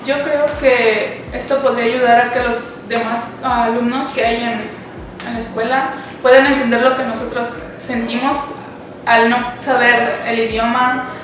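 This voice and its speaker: A woman giving hesitant, halting interview answers. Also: fairly high pitch, flat delivery, background noise. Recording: very echoey, music underneath.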